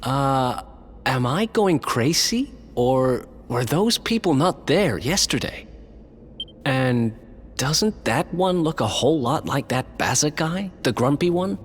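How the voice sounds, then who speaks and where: An actor voicing a young, skeptical adventurer with a wry, informal manner in a video game. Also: male voice, mid-range voice, lively intonation, takes it slowly, a little hiss or hum. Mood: puzzled. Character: young, skeptical, plain-spoken male adventurer. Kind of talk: video game cutscene acting. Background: background music.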